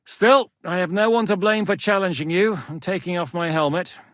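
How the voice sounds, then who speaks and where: A man's voice: sits high, fairly bright tone, no room sound. A man voicing a shrewd, commanding medieval Czech mercenary lord in a video game, speaking with blunt authority. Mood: reflective, frank. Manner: authoritative, blunt, swings from measured to barking. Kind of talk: dramatic video game dialogue.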